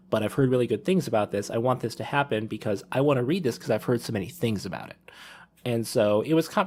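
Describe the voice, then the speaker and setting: A man's voice: mid-range voice, a little mellow. A man in a casual panel discussion, talking in a rambling, enthusiastic fan's way as a podcast host.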